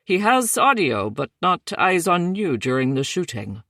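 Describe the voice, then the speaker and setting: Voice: mid-range voice, very animated, unhurried, pushed and bright, no room sound, clean studio sound, voice pushed up, full character voice. A narrator voicing a matter-of-fact male tech worker with a thick Indian accent.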